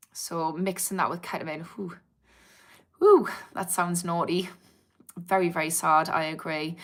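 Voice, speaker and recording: A woman's voice: on the low side, bright, forceful tone. An English woman with a Geordie accent and a slightly posh twang, chatting candidly and informally on a livestream as an addiction therapist answering viewers. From England. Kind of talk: casual livestream chat, reading and answering viewer comments.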